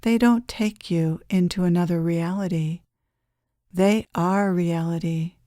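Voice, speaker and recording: Slow, mellow woman guiding meditation. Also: on the low side, steady tone, dry recording, studio quality. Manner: gentle, unhurried, soothing, with long pauses. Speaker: guided meditation leader, channeler.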